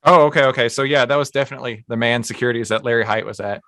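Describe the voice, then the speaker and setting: A man's voice: mid-range voice, pushed and bright, dry recording, studio quality. A man from Texas with a Texan accent, hosting an interview and asking friendly questions. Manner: friendly, quick questions with small repeats. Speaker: host.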